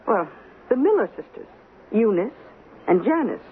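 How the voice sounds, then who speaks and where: A woman's voice: mid-range voice, sing-song, normal speed, phone-quality sound, close to an everyday voice. A woman acting in a radio drama as an affectionate, reasoning wife, with a coaxing, explanatory and theatrical delivery. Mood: eager, persuasive. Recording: thin, noisy old radio broadcast.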